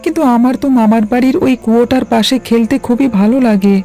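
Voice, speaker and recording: Woman doing inquisitive cartoon little boy, bit creaky and soft-edged. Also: fairly high pitch, fairly even intonation, quick pace, faint music behind, lightly acted. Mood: curious. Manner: childlike, inquisitive. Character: curious, obedient little boy. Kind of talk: cartoon dialogue.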